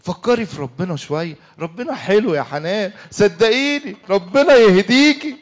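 A man's voice: high voice, lively intonation, normal speed. A young man with an Egyptian accent playing an easygoing, defensive husband in a church radio comedy-drama, protesting in a pleading, exasperated way. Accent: Egyptian Arabic.

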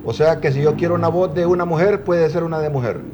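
A man's voice: on the high side, steady tone, fast talker, noisy background. Teasing male TV host. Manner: teasing, probing questions. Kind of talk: playful casual on-air chat.

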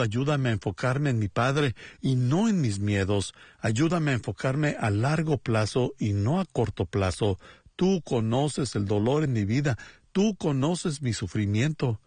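Earnest man leading a slow prayer. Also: medium pitch, darkish tone, slightly rough, dry recording. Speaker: pastor. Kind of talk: Spanish-dubbed radio prayer and sermon voice-over.

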